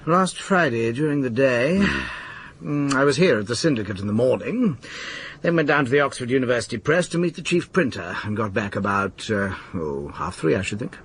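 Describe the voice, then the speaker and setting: An English actor playing a suave, slyly amused and embittered exam official in a radio drama, with clipped, sardonic delivery. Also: male voice, mid-range voice, lively intonation, a little fast, husky. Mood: casual, unruffled. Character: urbane, bitter, slyly amused male exam-board official. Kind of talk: naturalistic radio drama acting.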